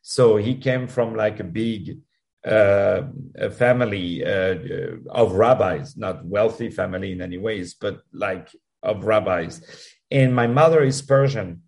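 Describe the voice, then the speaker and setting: A man with a French accent, a guest answering interview questions in a halting, effusive way with many restarts. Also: fairly low pitch, creaky. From France. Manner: halting, repeats words, warm and effusive. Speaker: musical producer guest.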